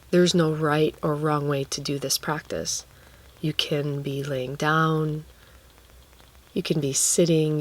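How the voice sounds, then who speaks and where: A woman guiding a relaxation meditation, speaking gently and leaving long pauses between phrases. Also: low-pitched. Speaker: guided meditation teacher.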